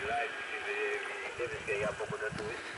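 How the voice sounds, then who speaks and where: A man's voice: fairly low pitch, wildly swooping pitch, quick pace. A man from Martinique chatting over two-way radio as an amateur operator, rambling and repeating himself. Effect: received over two-way radio. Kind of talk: casual radio contact chat. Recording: muffled, very noisy shortwave radio reception.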